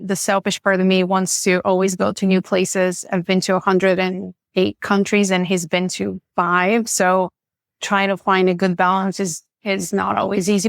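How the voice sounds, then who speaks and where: A woman's voice: mid-range voice, vocal fry, no echo, studio quality. A woman, speaking as a podcast guest in a frank, matter-of-fact way. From Bulgaria. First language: Bulgarian. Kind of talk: casual interview chat.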